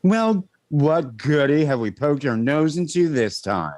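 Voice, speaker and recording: A man's voice: on the high side, sing-song, unhurried, background noise. A man playing a sarcastic, fussy store clerk in old-time radio comedy, arch and mock-polite. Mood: teasing, condescending.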